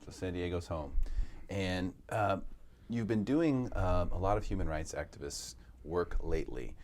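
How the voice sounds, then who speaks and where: A man with a low voice who hosts a talk show, lively and upbeat as he introduces and questions his guests. His pitch swings widely, and a faint hum of room ambience sits behind him. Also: unhurried. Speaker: radio show host. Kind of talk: radio talk show interview.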